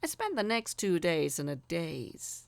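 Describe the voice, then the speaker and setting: A woman's voice: fairly low pitch, sing-song, unhurried, vocal fry, no echo. A woman reading a first-person story as a dramatised narration.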